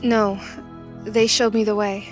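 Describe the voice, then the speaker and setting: A woman's voice: medium pitch, little pitch movement, takes it slowly, soft background music, close to an everyday voice. A woman playing an earnest, humble, questioning office worker in an audio drama, plain and understated. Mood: earnest, probing.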